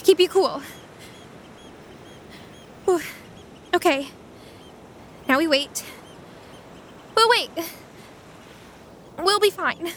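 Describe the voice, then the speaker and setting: A woman playing a tender, guilt-ridden young fantasy adventurer with a halting, anxious, caring delivery, in an audio drama. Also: very high voice, lively intonation, fairly bright tone, slight background noise, heavily acted. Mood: tender worry. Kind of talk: audio fiction drama acting.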